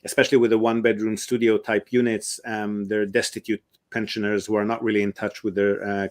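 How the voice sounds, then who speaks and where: A man's voice: fairly low pitch, flat delivery, brisk. A man, a real estate investment advisor, answers interview questions at length, explaining step by step with frequent ums and uhs.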